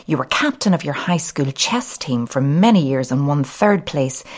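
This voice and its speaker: A woman's voice: deep voice, animated, moderate pace. A woman hosting an interview podcast, speaking in a polished, scripted presenting style and complimentary towards her guests. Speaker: podcast host.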